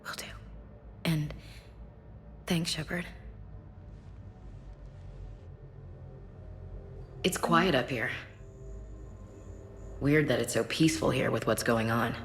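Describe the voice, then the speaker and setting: A woman playing a grieving, guarded soldier and big sister in a sci-fi game, speaking in a hush. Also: rumbling low, a little slow, pauses often, soft background music. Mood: quiet, resigned. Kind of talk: naturalistic game dialogue acting.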